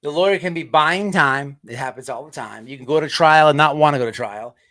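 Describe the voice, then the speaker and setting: A man hosting an online show with off-the-cuff livestream commentary, halting as he repeats words and restarts. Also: on the high side, pushed and bright.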